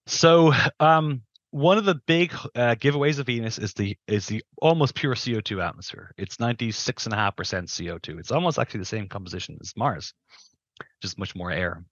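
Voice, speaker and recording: A man's voice: fairly low pitch, normal speed, no echo, muffled recording. A middle-aged man giving careful, qualified explanations as an interview guest, speaking into a headset on a video call. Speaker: guest planetary scientist.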